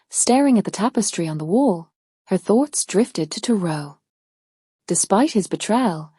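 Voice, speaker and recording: A woman's voice: mid-range voice, dark tone, breathy edge, dry recording, studio quality. A woman narrating a scripted storybook tale as a storyteller.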